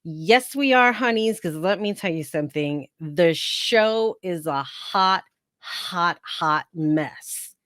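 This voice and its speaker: A woman with a heavy New York accent chats about reality TV in a sarcastic, playful way. Her voice sits low. The recording is dry and close. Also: lively intonation, slow, fairly bright tone, dry recording. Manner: sarcastic, playful, laughs often. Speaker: reality TV commentary host. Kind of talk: casual chatty commentary.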